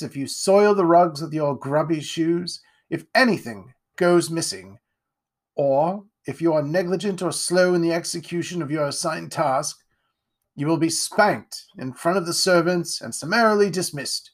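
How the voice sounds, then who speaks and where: Severe household servant, played by a male reader: formal, imperious, threatening, raised pitch, storybook. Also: a little slow. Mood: stern, threatening. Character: stern, threatening household servant giving orders.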